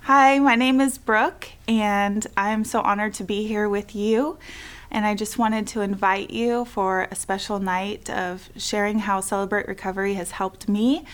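A young woman whose first language is American Sign Language, a missionary, giving a soft, heartfelt invitation in a recorded clip. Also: mid-range voice, steady tone, unhurried. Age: young adult.

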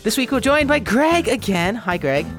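Lively laughing male podcast host. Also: very high voice, normal speed, over music. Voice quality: quiet voice. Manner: laughs often, animated and self-mocking. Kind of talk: casual podcast chat.

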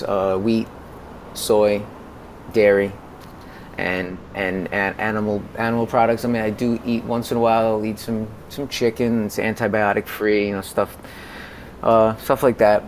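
American male, 40s, podcast guest. Lowish voice, halting conversational delivery, noticeable background noise. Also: little pitch movement. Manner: halting, repeats words as he goes. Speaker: podcast guest, music consultant. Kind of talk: conversational interview.

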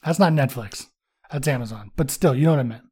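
A man's voice: medium pitch, fast, a little mellow, slightly rough. A man co-hosting a casual podcast, self-mocking, laughing often and swearing freely. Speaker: podcast co-host. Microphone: studio podcast mic.

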